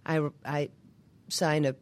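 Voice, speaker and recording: A woman in her fifties, a stage actor with a smoky, husky voice, answering interview questions in a halting way with many restarts. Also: low-pitched, little pitch movement. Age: 50s. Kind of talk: interview guest.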